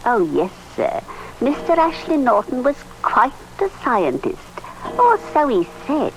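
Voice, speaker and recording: A woman's voice: high-pitched, wildly swooping pitch, exaggerated voice, low-quality recording. An actress playing an older village woman, insinuating yet deferential, in an old radio drama. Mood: darkly insinuating. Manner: insinuating, deferential, drawn-out hints. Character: sly, gossiping rustic older village woman. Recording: vintage radio recording, faint noise.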